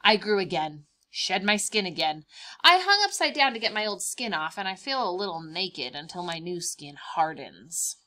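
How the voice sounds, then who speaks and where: A woman voicing a cheerful, boastful young praying mantis in a picture-book read-aloud, expressive and emphatic, stretching out words. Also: on the low side, normal speed. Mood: cheerful, dramatic.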